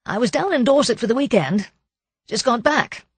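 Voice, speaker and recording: A woman's voice: fairly high pitch, expressive, normal speed, slightly rough, dry recording, voice pushed up. A woman voicing a breezy young upper-class man with jaunty, slangy speech.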